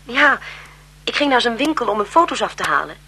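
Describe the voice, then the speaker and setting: An actress playing a polite, gossipy governess who eagerly serves a marquise, in a 1970s Dutch radio drama. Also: female voice, a little high, sing-song, fairly quick, bright, forceful tone, husky, heavily acted. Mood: shocked, gossipy. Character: deferential, chatty, gossipy governess to a marquise's children.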